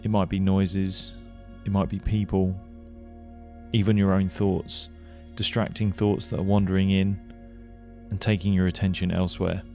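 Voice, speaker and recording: A man leading a guided meditation, giving gentle, unhurried instructions with pauses. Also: sits low, little pitch movement. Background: music.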